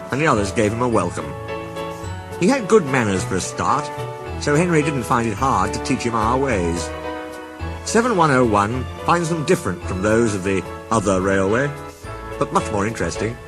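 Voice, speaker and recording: A man's voice: mid-range voice, expressive, normal speed, music in the background. A man reading a scripted children's story as its narrator.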